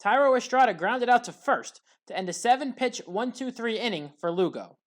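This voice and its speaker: A woman delivering scripted play-by-play narration as a sports highlights commentator. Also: lively intonation, normal speed.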